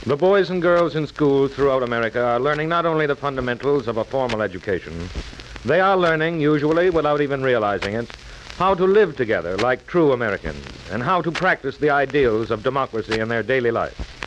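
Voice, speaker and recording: A man's voice: mid-range voice, animated, brisk, close to an everyday voice. An actor as an earnest, stately male narrator of a 1940s educational radio drama. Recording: dull, noisy 1943 radio recording.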